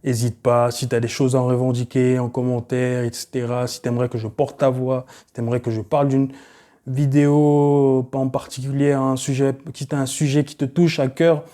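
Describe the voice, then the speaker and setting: A man's voice: medium pitch, moderate pace. A man in his late twenties, originally from Cameroon, chatting casually in a vlog with loose, rambling talk full of fillers. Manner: relaxed and rambling, many fillers like voilà and euh. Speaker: YouTuber.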